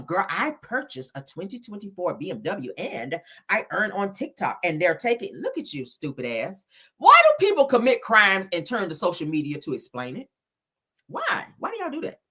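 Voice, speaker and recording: A woman giving animated online reaction commentary in a blunt, scolding, emphatic manner, swearing freely and repeating phrases for effect. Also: mid-range voice, slightly rough. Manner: blunt, scolding, heavy profanity. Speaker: YouTuber commentating on celebrity and viral topics.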